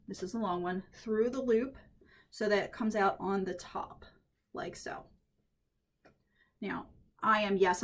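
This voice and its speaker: A woman at home, a little croaky from allergies, chatting through a head-wrap tutorial and thinking aloud as she works. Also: fairly low pitch. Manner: chatty, thinks aloud while working, frequent restarts. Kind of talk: casual hands-on tutorial.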